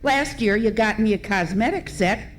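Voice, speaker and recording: An actress playing a nagging, self-pitying, suspicious wife in an old-time radio comedy sketch, whining and accusing with melodramatic delivery. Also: female voice, mid-range voice, normal speed, natural voice. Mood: hurt, whining. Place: live stage in a hotel ballroom. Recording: noisy live event recording.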